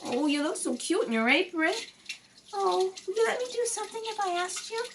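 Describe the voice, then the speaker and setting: Woman playing a girlish, high-voiced lovestruck socialite. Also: lively intonation, a little fast, a little hiss or hum. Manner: eager, naive, coy. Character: sweet, girlish, lovestruck young society woman. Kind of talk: old-time radio comedy acting.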